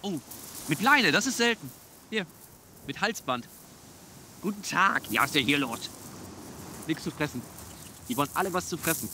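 Male, fairly high, lively intonation, spontaneous casual chat, recorded outdoors with clear noise. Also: moderate pace, pauses often. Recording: clearly noisy outdoor location sound.

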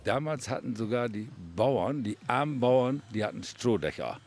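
A man's voice: medium pitch, animated, normal speed, darkish tone. A man working as a roofer, explaining his craft plainly in an interview. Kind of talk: interview recollection.

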